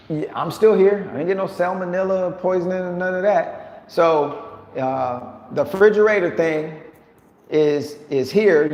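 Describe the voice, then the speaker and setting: A man vlogging casually to his viewers, talking in an animated, slangy, joking way. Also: on the high side. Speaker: vlogger living abroad. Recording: echoey room with long reverb.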